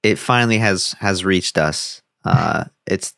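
Young male podcast host, very low, dry sound, easygoing, halting. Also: gravelly, a bit bright, no echo. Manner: laid-back, stumbling restarts. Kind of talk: casual chat. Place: home, on a video call.

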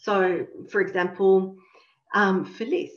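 A woman host leads a session with slow, reflective questions and leans on certain words for emphasis. Her voice carries a roomy echo, and the call audio sounds muffled. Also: medium pitch, steady tone. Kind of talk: coaching talk. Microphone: video call. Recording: echoey, dull room sound.

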